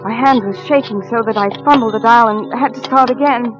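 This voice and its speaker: A woman's voice: a little high, fairly quick, close to an everyday voice, rough recording. An actress in a 1940s radio drama playing a devoted, anxious housewife who also narrates her own suspense story. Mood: tense, frightened. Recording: old radio recording with music.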